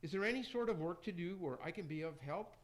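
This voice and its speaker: A man playing an excitable, repentant Roman emperor with effusive, rhyming speech in amateur radio theater. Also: high voice, quick pace. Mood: humble, eager.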